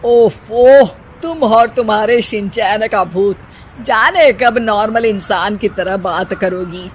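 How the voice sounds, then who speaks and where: A woman's voice: medium pitch, slow, a little hiss or hum, lightly acted. A woman voicing an exasperated, scolding mother-in-law in a cartoon family story, with a nagging, emphatic delivery. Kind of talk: animated moral story dialogue.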